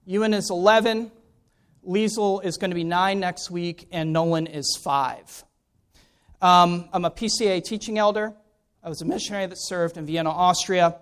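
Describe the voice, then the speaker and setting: High-voiced American man, clear preaching voice. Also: fairly even intonation, normal speed. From Maryland, United States. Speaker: guest preacher, pastor. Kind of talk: informal spoken introduction to a sermon.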